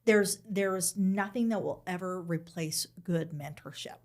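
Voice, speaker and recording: A woman's voice: on the low side, a little slow, a little mellow. Effusive woman podcast host. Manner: effusive, enthusiastic. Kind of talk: casual interview chat.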